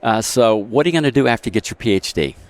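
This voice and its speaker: A man's voice: medium pitch, lively intonation, racing. A man hosting a podcast, asking questions in an easygoing way and affirming answers often. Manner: easygoing, affirming with yeah and good. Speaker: podcast host. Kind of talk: casual podcast interview.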